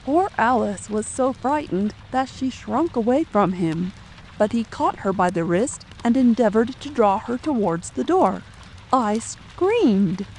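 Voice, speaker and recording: Woman acting a slow-spoken, high-voiced anxious widow. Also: a little mellow, a little hiss or hum, low-quality recording. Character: distressed, fearful middle-aged widow, protective mother. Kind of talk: dramatic audiobook reading. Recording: faint rain ambience behind.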